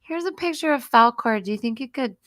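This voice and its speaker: Woman, guest on a chat show, hoarse voice, tired, chatty, trails off. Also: a little high, lively intonation, a little fast. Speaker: guest, host's new wife. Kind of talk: casual chat.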